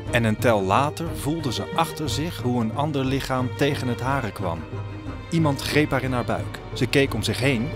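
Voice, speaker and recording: A man tells a dramatic story as narrator of an audio drama. His voice is medium in pitch, and music plays clearly behind it.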